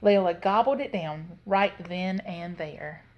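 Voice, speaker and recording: A woman storyteller giving an expressive read-aloud narration of a children's story. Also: fairly low pitch, takes it slowly, highs cut off.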